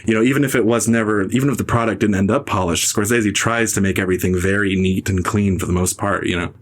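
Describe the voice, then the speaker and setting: A young man hosting a podcast, ranting casually and sarcastically about film critics, jumping into high mocking impressions. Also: fairly even intonation, fast. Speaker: podcast host. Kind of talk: casual opinionated film talk.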